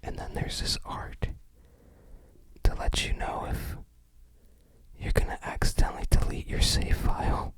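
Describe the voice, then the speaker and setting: An ASMR creator whispering unhurried, musing, slightly hesitant commentary while showing items to the viewer.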